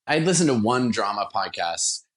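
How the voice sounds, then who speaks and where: Low-voiced man, enthusiastic podcast guest. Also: big pitch swings, normal speed, a bit bright. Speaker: interview guest, radio theater producer. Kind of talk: casual podcast interview.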